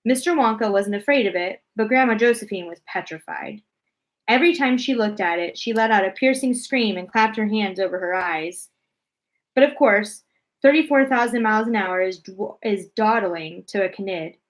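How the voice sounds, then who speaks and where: A woman reading a children's storybook aloud as narrator, expressive and deliberate in her storytelling. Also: medium pitch.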